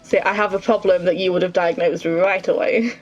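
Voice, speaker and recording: A woman's voice: fairly low pitch, fairly even intonation, brisk, lo-fi sound, soft background music. A woman giving casual, playful commentary while playing a game, self-deprecating and talking back to it. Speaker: gaming video creator.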